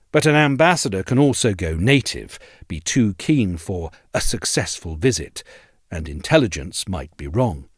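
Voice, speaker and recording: A man narrating a scripted audio essay in a measured, articulate and formal way. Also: lowish voice, no echo, studio quality.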